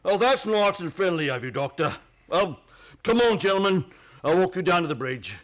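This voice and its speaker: A man plays an obliging country police sergeant in a radio drama. His voice is fairly high and very lively in pitch. He is folksy, plain-spoken and respectful to his betters. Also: normal speed. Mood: grateful, obliging. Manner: folksy, deferential, hesitant. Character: plain-spoken, eager, deferential male local police sergeant. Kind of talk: radio play acting.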